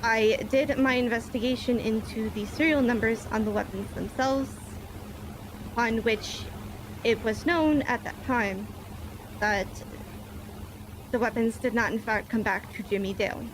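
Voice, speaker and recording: A woman plays a police officer giving testimony in a roleplay courtroom, using stiff, formal police-report wording. Her voice sits fairly high. Clear noise runs under a game voice-chat recording. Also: a little slow. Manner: formal police-report phrasing, leans on "basically" and "myself".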